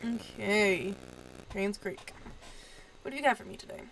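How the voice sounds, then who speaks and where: A woman's voice: mid-range voice, unhurried, creaky, over music. A woman with a stuffy, allergy-clogged voice chats her way through a game video. She rambles and fills the gaps with fillers, keeping her voice down at home at night. Her mic adds a crackle of static. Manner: chatty, rambling, trails off with fillers; talks quietly so as not to wake others. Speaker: gaming video host. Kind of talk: casual let's-play commentary.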